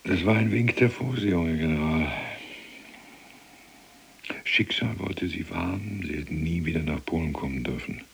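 An actor playing a deferential, superstitious prison cellmate in a radio play, speaking ominously in a breathy, creaky voice. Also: male voice, sits low, normal speed, exaggerated voice. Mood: ominous, hushed. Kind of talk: German radio play acting.

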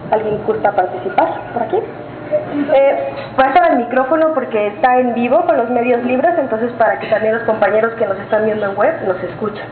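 A woman's voice: on the high side, noisy background. A woman moderating a question session, informal and inviting. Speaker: event moderator. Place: meeting hall with an audience. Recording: echoey room over a tinny lo-fi line.

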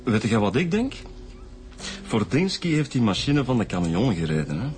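An actor playing a talkative detective in a radio drama, thinking aloud hesitantly with a Flemish accent. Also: male voice, fairly low pitch, very animated, normal speed, darkish tone, faint music behind. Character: eager, talkative male detective airing his theories.

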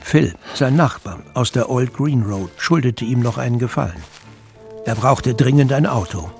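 A man's voice: mid-range voice, lively intonation, normal speed, creaky, a little mellow. A man narrating a scripted, dramatic audiobook as a storyteller. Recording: music bed under narration.

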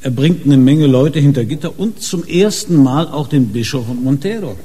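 An actor playing a dogged, impatient police inspector in a radio crime drama, probing and suspicious, with a husky, muted voice. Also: male voice, mid-range voice, expressive, normal speed, mellow. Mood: musing, suspicious. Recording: reverberant hall-like radio drama scene.

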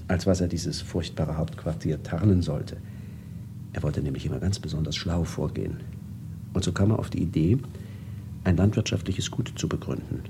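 A man's voice: low-pitched, creaky, noisy background. An actor playing a worldly, amused, cynical bourgeois ex-revolutionary, telling his stories expansively and sardonically in a radio drama. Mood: confiding, ironic. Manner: sardonic, animated, expansive storyteller. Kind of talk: 1970s German radio drama acting.

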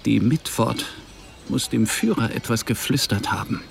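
An actor playing a pompous, resentful and indignant 1930s emissary in a radio play. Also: male voice, mid-range voice, lively intonation, a little slow, vocal fry, faint music behind. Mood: aggrieved. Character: indignant, resentful male Nazi-era emissary.

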